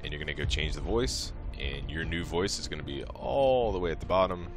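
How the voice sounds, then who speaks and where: A man's voice: rumbling low, animated, a little fast, music in the background. A man presenting a modding tutorial as a casual screen-recorded walkthrough, explaining each step in an informal, offhand way.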